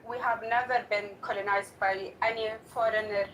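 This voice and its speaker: A woman's voice: medium pitch, steady tone, normal speed, a bit bright. A woman whose first language is Arabic, speaking accented English in a heated casual debate, repeating herself insistently and saying b for p. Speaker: debate participant on a live call. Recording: distant mic with faint noise.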